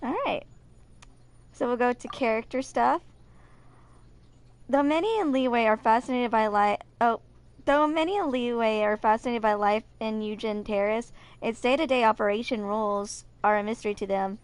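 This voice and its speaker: A young woman with a fairly high-pitched voice gives casual video game commentary. She talks like a streamer at home with her game and trips over the odd word when reading aloud. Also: moderate pace, smooth. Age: 20s.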